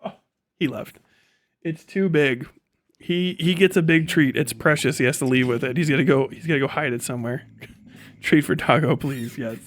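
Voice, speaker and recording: A man's voice: on the high side, moderate pace. A man chatting casually as a live streamer, rambling and sardonic, reading things aloud and swearing offhandedly.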